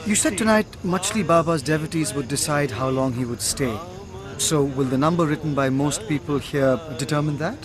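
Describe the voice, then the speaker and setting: An actor playing a cool, sceptical detective with an Indian accent, asking probing questions in a radio drama. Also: male voice, on the high side, moderate pace, a crowd in the background, close to an everyday voice. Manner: dry, probing.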